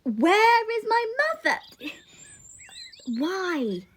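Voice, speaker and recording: A woman's voice: very high voice, sing-song, very slow, exaggerated voice. A woman playing an eager, impatient young girl in love, speaking verse with dramatic pleading in a Shakespeare audio drama. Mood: urgent pleading. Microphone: phone, recorded at home. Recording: noisy home phone recording.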